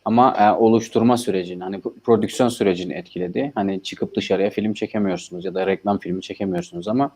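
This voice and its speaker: Low, mellow-voiced man talking calmly. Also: racing, hardly any pauses. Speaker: guest, filmmaker. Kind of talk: interview answers.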